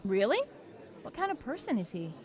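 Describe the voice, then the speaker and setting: A woman's voice: fairly high pitch, very animated, moderate pace, a crowd in the background. A woman playing an exasperated, knowing mentor lawyer with a curt manner in a comic courtroom game fan dub. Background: background music.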